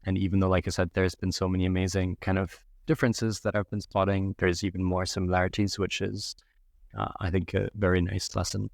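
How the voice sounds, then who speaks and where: A young man from Yemen speaks in a low, steady voice with little rise and fall. He takes his time, pausing on "um" and "uh" as he reflects. The sound is close and dry, with no room around it. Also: vocal fry, no echo. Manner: measured, thoughtful, many fillers. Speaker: podcast guest. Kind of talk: reflective interview answers.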